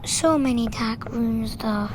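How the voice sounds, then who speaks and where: A woman with a croaky voice, narrating her own gameplay in a chatty, rambling way with fillers and restarts. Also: a little high, slow, background noise. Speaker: gaming video narrator. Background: loud game music.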